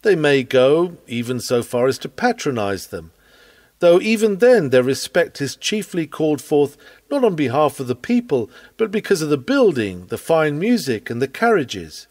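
A man reading a scripted sermon aloud in an earnest, measured, expressive preaching manner. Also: fairly high pitch, no room sound. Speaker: reader of a historical sermon.

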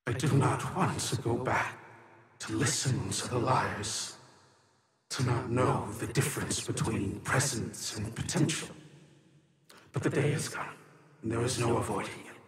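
A man voicing an ancient, bitter, otherworldly sister in a tabletop game, solemn, halting and dramatic. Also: medium pitch, noisy background, lightly acted. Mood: solemn, resigned. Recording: long reverb on the voice.

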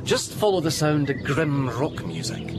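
Male husband, radio drama: casual, wry, reassuring, animated pitch over music. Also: measured pace. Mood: breezy, evasive.

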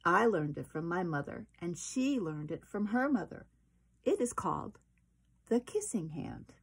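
Lady doing a soothing mama raccoon for a picture book, slow and gravelly. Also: fairly low pitch, very animated, exaggerated voice. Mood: secretive. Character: gentle, reassuring mother raccoon.

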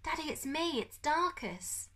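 A woman reading an audiobook, voicing a brave young boy who speaks in an urgent, hushed whisper, with her pitch raised well above her own. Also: expressive, unhurried, exaggerated voice. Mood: pleading. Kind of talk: audiobook character voice.

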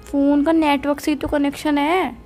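Young female, Indian accent, highish pitch, casual couple's phone chat, teasing and defensive, faint music. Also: flat delivery, moderate pace, a little mellow. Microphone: phone call.

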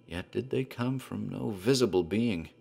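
Deep-voiced man narrating horror. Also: creaky, slightly rough. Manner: dark, deliberate, theatrical.

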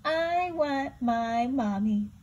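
A woman voicing the youngest baby owl boy in a children's story, drawing his words out in a slow, plaintive whine. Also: high-pitched, lively intonation, darkish tone, muffled recording, heavily acted.

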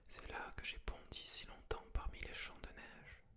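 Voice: moderate pace, sharp tone, natural voice. A reader whispering the lines of a homesick reindeer in a fairy tale. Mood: longing. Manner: whispered throughout.

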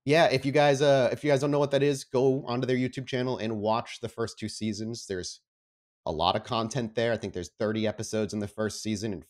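A man's voice: medium pitch, steady tone, fairly quick. A man speaking as host and game master of a tabletop roleplaying show, with an informal, enthusiastic manner. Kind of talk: casual announcements, then narrating a tabletop game.

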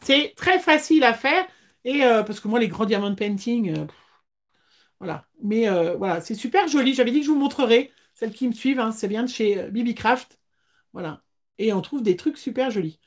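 High-voiced woman chatting. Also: animated, normal speed. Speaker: craft livestream host. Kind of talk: casual livestream chat. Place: small indoor craft room.

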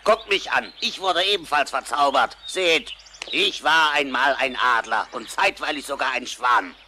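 A man's voice: mid-range voice, a little slow, sharp tone, a little hiss or hum. A man playing a brave, kindly, bluffing farm goose in a cartoon audio drama, with bold theatrical delivery. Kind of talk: animated series audio drama acting.

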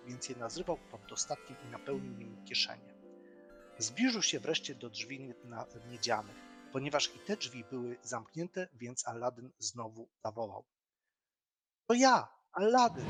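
A man narrating a fairy tale from a script in an expressive storytelling style. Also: medium pitch.

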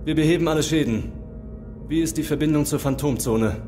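A man's voice: on the high side, a little fast, over music, close to an everyday voice. A man playing a commanding, brooding phantom warlord weary of war in an audio drama. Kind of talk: German audio drama acting.

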